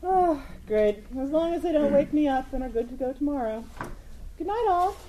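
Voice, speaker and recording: A woman's voice: high-pitched, animated, moderate pace, background noise. A woman playing a plucky, easily exasperated young inventor heroine in a comic radio play.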